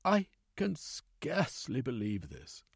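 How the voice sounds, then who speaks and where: A man voicing a fretful genteel gentleman who speaks in alarmed, excitable exclamations. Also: medium pitch, slow pace, creaky, husky, dry recording, studio quality, pitched above the performer's own voice, heavily acted.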